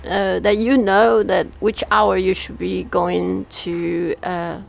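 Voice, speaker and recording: A woman from Taiwan speaking as an interview guest in casual conversation, with halting, pause-filled non-native English. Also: medium pitch, flat delivery, gravelly. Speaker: interview guest, media artist.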